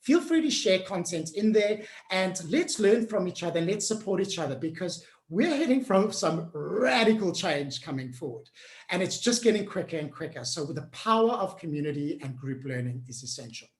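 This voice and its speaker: A woman's voice: lowish voice, sing-song, normal speed, roomy sound. A woman hosting an online workshop on change management. She coaches the group directly in an upbeat, encouraging way and keeps checking in with them.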